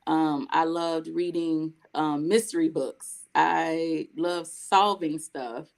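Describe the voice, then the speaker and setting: A woman's voice: low-pitched, fairly even intonation, slow, gravelly. A young adult woman from Mississippi, raised in North Carolina, chatting as a guest and telling long stories with frequent laughs. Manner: chatty, laughs often, long storytelling answers. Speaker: guest, spoken word poet. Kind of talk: casual interview chat.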